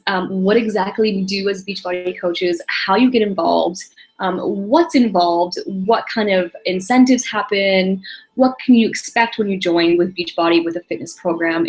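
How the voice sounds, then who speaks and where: A woman in her late thirties hosting a casual online webinar alone, chatty and self-deprecating, with quick laughs and apologies. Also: medium pitch, low-quality recording. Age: 30s. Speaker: webinar host. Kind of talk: casual solo webinar presentation.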